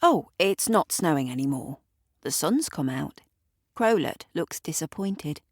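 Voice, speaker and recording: A woman's voice: sits low, expressive, a little slow, no room sound, studio quality. A woman narrating an audio drama in the present tense, storytelling with chatty asides to the listener. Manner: conversational asides to the listener, acting out characters.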